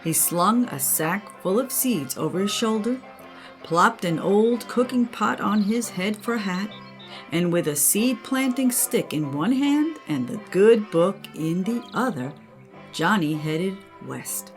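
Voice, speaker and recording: A woman's voice: medium pitch, animated, slow, faint music behind. An older woman, a grandmother, narrating a children's story.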